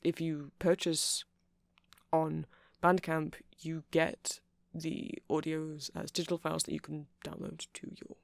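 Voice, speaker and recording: A man playing a nerdy male vampire in an ASMR roleplay, rambling haltingly and passionately to a listener as he explains things. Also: a little high, flat delivery, gravelly, dry recording. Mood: conceding, earnest.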